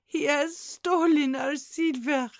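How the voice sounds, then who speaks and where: A woman's voice: high voice, steady tone, vocal fry, no echo, heavily acted. A woman playing a fretful, exclamatory elderly housekeeper in a dramatised classic audiobook. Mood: horrified. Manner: exclamatory, gasping, drawn-out lamenting.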